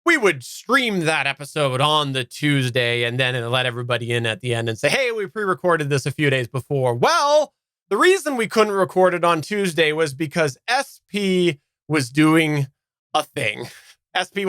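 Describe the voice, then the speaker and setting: A man's voice: a little high, big pitch swings, moderate pace, bright, forceful tone, clean tone, no room sound, studio quality. A man hosting a podcast live stream in a casual, conversational style. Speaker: podcast host.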